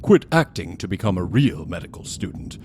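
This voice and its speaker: An actor playing a dry, menacing studio fixer who interrogates in clipped, deliberate audio drama style. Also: male voice, sits low, big pitch swings, a little mellow, a little hiss or hum. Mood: dry, accusing. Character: menacing studio fixer investigating murders, dry adult man.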